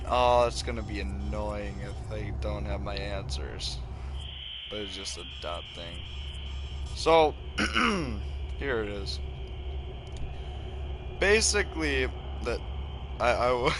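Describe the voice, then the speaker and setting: A teenage boy hosting a gaming channel, rambling and joking over his gameplay with loud sudden reactions and self-aware asides. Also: on the low side. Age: teens. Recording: clear background noise.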